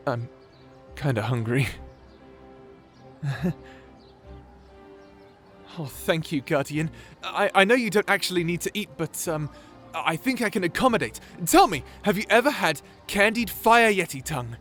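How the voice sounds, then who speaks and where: A man's voice: a little high, lively intonation, unhurried, long pauses, fairly bright tone, soft background music. A man playing a shy, nerdy young wizard in a fantasy audio roleplay, stammering, bashful and apologetic. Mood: bashful, polite. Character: shy nerdy young male wizard, flustered, eagerly polite.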